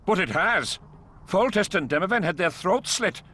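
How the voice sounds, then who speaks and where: A man's voice: high voice, big pitch swings, normal speed, heavily acted. An actor playing a blunt, dismissive male noble officer in a fantasy game. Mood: heated. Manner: forceful, heated.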